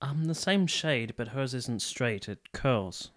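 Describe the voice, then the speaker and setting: A man acting a courteous, reserved young army captain in a radio play, speaking in a formal, measured, literary way. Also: medium pitch, expressive, fairly bright tone. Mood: reserved, wistful. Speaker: actor and narrator in a radio play. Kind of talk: literary first-person narration and radio drama dialogue.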